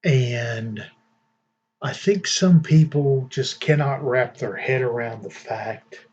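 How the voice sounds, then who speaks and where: A man hosting his own channel, giving casual news commentary in a slow, deliberate way with fillers and long pauses. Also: medium pitch, darkish tone, breathy edge, muffled recording. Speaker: vlog host.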